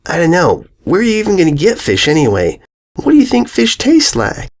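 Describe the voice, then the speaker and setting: Brash young tomboy pony, blunt and teasing, pitched up, played by a male reader, for a storybook-style reading. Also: wildly swooping pitch, brisk. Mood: curious. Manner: blunt, pushy, incredulous.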